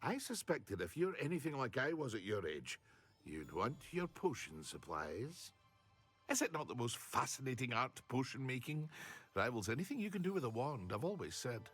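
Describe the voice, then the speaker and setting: A man's voice: mid-range voice, sing-song, moderate pace, fairly bright tone, breathy edge. A man voicing a chatty, enthusiastic potion shopkeeper in a video game, with a British accent and a theatrical way of savouring his words. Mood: eager, inviting.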